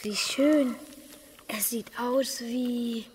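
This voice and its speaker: Young boy for radio drama: high, slow-spoken, timid but curious, wide-eyed and hesitant. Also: fairly even intonation, darkish tone, a little hiss or hum. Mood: wondering. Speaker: actor in a radio play. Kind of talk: German radio play acting.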